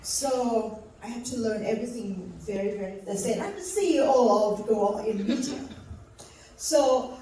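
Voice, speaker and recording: A woman's voice: fairly high pitch, warm tone, distant mic, a little hiss or hum. Thai-accented woman in an echoey hall. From Thailand. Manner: halting, with restarts. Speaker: speaker. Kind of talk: personal story speech.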